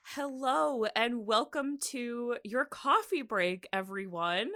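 Bright-voiced woman chatting as podcast host. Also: fairly high pitch, slow pace, soft background music. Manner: animated, giggly, conversational. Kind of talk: casual chatty podcast talk.

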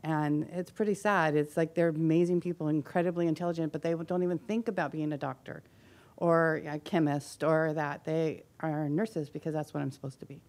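A woman from the audience at a conference session, speaking loudly and earnestly with many restarts. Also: sits low, fairly even intonation, brisk. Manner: loud, earnest, rambling with restarts. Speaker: audience member. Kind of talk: conference discussion.

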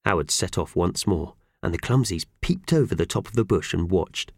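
A man narrating a children's audiobook in an animated storytelling style. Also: low-pitched, moderate pace, vocal fry, slightly rough, dry recording, studio quality.

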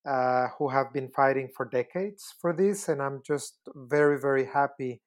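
A man in his fifties from Costa Rica, answering interview questions in a measured, deliberate way with frequent pauses. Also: medium pitch. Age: 50s. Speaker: guest, UN human rights expert.

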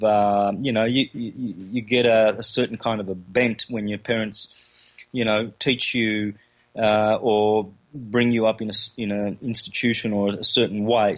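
A man with an Australian accent, first language Calabrian, speaking as an interview guest, halting with many fillers and restarts. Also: fairly low pitch, poor audio. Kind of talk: casual interview talk.

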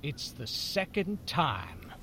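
High-voiced, haughty, indignant plotter. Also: male voice, big pitch swings, takes it slowly, bright, forceful tone, a little hiss or hum, heavily acted. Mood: peevish. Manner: haughty, peevish. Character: prissy, indignant, sneering male conspirator. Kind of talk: audio drama acting, period American.

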